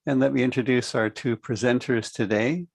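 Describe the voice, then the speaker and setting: A middle-aged man hosting an online meditation class over a video call, speaking calmly and in a measured way. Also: medium pitch, creaky, no room sound, clean studio sound. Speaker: host. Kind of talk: friendly online class introduction.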